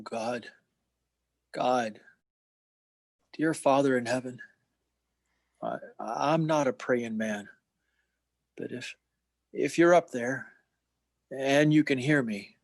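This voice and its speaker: A man's voice: medium pitch, takes it slowly, long pauses, dry recording. An amateur actor playing a distraught, short-tempered family man in an old-time radio play, agitated and stammering. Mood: despairing prayer.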